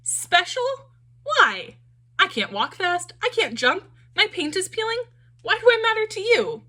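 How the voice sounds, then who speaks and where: A man's voice: extremely high pitch, very animated, unhurried, pushed and bright, voice pushed up, exaggerated voice. A woman voicing a small, timid male wooden puppet who doubts himself and stammers anxiously. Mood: incredulous.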